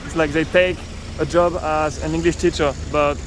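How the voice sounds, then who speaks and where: A man's voice: fairly high pitch, level tone, moderate pace, crowd noise, rough recording. A man interviewing a passerby, asking curious questions with many fillers. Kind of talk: street interview. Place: city street outdoors with traffic. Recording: loud street noise.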